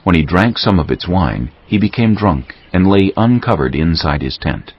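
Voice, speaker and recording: A man narrating a dramatized scripture reading in a measured, storytelling manner. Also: low-pitched, lively intonation, husky. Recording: slightly dull audio over music. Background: music.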